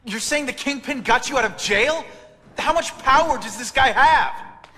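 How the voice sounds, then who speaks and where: A man's voice: extremely high pitch, moderate pace, bright, forceful tone, slight background noise. A man with an American accent acting a young, quippy, earnest superhero in a video game. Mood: probing, concerned. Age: young adult. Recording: music playing behind the voice.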